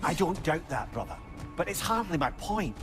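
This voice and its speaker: An actor with a Scottish accent playing a witty, wordy old sage, a talking head, in a video game drama. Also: male voice, fairly high pitch, sing-song, moderate pace. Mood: earnest, reasoning. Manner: wordy, wry, colloquial cursing. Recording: game music behind the voice.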